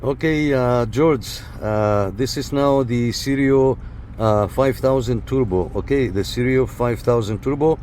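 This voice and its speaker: A man hosts a casual hands-on radio demonstration from a car. His voice sits at a middle pitch, with short, repeated call-style phrases. Vehicle and outdoor noise runs behind him. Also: measured pace, a little mellow. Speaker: host of a CB radio antenna test. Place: inside a parked car and outside beside it. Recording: noisy car and outdoor background.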